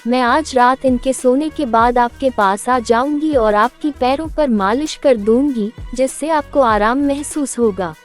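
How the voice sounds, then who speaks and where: A woman's voice: high-pitched, unhurried, hardly any pauses, faint music behind. A woman voicing a dutiful, caring young daughter-in-law in a horror cartoon, earnest and eager to please. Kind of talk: Hindi horror cartoon voice acting.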